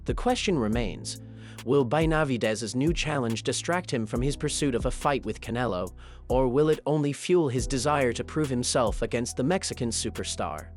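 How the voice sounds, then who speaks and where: A man reading scripted sports news narration in an even, steady way. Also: medium pitch, moderate pace, faint music behind.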